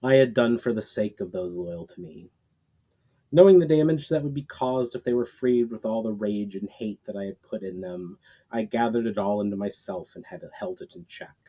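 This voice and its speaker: A man voicing a proud, repentant old warrior spirit in a storybook, speaking in a solemn, formal, measured way. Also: on the low side, dark tone, lightly acted, rough recording. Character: Kiral, ancient male warrior spirit, proud, repentant, weary.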